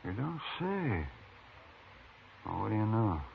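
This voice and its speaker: Fussy old frontier doctor. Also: male voice, fairly low pitch, very animated, takes it slowly, gravelly, exaggerated voice. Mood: surprised. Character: folksy older male frontier doctor. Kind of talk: 1950s radio acting. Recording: tinny old radio broadcast with hiss.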